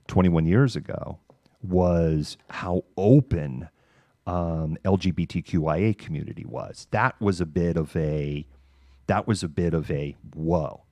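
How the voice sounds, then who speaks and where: Very deep-voiced middle-aged American man. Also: dark tone, no echo, studio quality. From Massachusetts, United States. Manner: candid, informal, halting. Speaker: livestream news host. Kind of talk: casual monologue of personal reminiscence.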